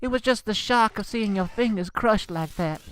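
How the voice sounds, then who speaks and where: A woman's voice: medium pitch, normal speed, clear, resonant tone, lightly acted. A woman playing a devoted young bride in a melodramatic horror audio drama, earnest in manner. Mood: forgiving. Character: young devoted bride, adoring, easily horrified.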